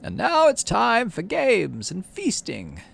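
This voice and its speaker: Man putting on a very high, warm colony governor. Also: huge pitch swings, slow, creaky, breathy edge, full character voice. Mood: warm, proud. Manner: warm, ceremonial.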